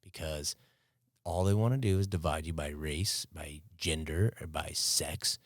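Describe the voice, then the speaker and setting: A man's voice: rumbling low, a little slow, no echo, studio quality. An American man co-hosting a podcast, talking in a laid-back, casual way and swearing freely. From United States. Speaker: podcast co-host. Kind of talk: casual chat.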